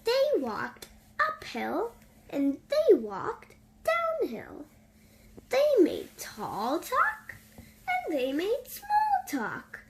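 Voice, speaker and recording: A woman reading a bedtime story aloud to young children in an animated, sing-song, drawn-out way. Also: very high-pitched, vocal fry, slight background noise. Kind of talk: slow, expressive bedtime story read-aloud.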